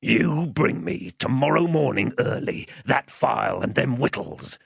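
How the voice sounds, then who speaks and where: A rough, dangerous convict from a storybook, acted out by a male narrator, growls coarse rustic threats. The voice is husky and gravelly, swinging widely in pitch as he bullies. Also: animated, a little slow, no room sound, heavily acted. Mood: threatening. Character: menacing rough escaped convict, adult man.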